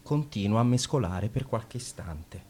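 A man's voice: lowish voice, lively intonation, measured pace, darkish tone, husky. A man narrating the stage directions of a radio play in a plain, neutral reading.

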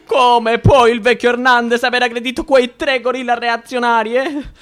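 Flustered, cowardly judge. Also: male voice, very high-pitched, level tone, normal speed, fairly bright tone. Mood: indignant, conspiratorial. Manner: agitated rambling, peppered with questioning "eh" tags. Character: anxious, self-pitying, cowardly male judge fearing for his job. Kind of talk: stage-style radio drama acting.

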